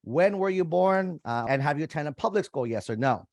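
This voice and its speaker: A man's voice: fairly high pitch, expressive, moderate pace, a little mellow, no room sound, studio quality. A young man, a college admissions coach whose first language is Vietnamese, talks viewers casually through an online application step by step. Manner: casual, conversational, addresses viewers as "you guys". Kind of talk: screen-share walkthrough tutorial.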